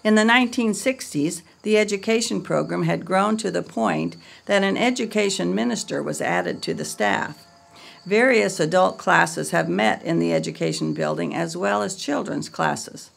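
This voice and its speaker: A woman reading a scripted history narration in a measured, read-aloud way. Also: lowish voice, gravelly.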